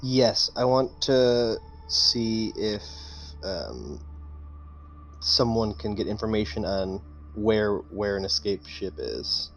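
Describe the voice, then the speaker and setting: Low-pitched man playing a tabletop game. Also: expressive, slow pace, soft background music. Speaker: tabletop roleplaying player. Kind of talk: casual in-game discussion.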